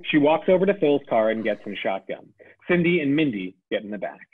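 A man reading the scene and stage directions aloud at a table read, in a plain, brisk way. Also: mid-range voice, sing-song, husky. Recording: tinny phone-like sound.

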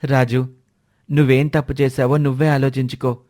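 A man's voice: medium pitch, little pitch movement, moderate pace, darkish tone, pitched above the performer's own voice, natural voice. A man as a kind, principled schoolboy. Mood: calm admonishing. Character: kind, principled schoolboy, gently moralizing friend. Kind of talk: acted dialogue in a children's moral story.

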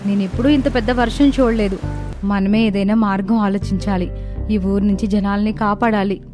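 A woman's voice: mid-range voice, fairly even intonation, moderate pace, close to an everyday voice. A woman playing earnest, caring young village sisters in a moral story cartoon, with a dramatic delivery. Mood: concerned, determined. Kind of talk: animated moral story dialogue. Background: background music.

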